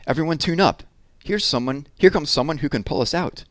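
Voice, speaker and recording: A man's voice: fairly high pitch, expressive, brisk, fairly bright tone, no echo, clean studio sound, voice pushed up. A man voicing an anxious bear bandleader in a storybook, calling out urgently. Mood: urgent, hopeful. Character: anxious male bear bandleader with a double bass.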